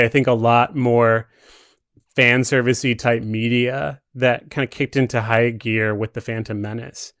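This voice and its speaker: A man with a medium-pitched voice, recorded dry without room sound. He chats casually about films as a guest, measured and analytical, qualifying his points as he goes. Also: fairly bright tone, no room sound. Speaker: podcast guest. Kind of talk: casual film discussion.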